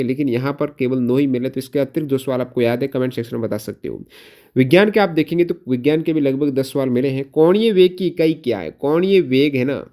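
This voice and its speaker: A man's voice: mid-range voice, warm tone. A man working as an exam coaching teacher, explaining questions to students, repeating answers for emphasis and mixing in English technical terms.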